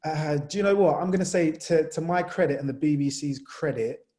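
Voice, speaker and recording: Mellow-voiced man from Jamaica. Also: on the high side, a little fast. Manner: animated storyteller, many fillers. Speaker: sports journalist. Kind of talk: casual panel talk.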